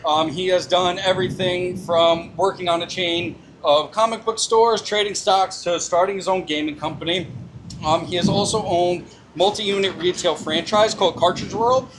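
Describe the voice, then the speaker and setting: High-voiced man introducing the speaker. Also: steady tone, noisy background. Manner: halting, many "um" fillers. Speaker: event host introducing the speaker. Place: restaurant meetup.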